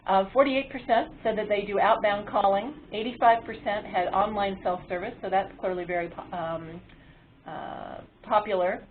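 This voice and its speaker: A woman's voice comes through a narrow, phone-like line with a medium pitch. She presents a webinar in an explanatory way, pausing often on ums and uhs as she moderates. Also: fairly even intonation, a little hiss or hum. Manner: halting delivery with frequent ums and uhs.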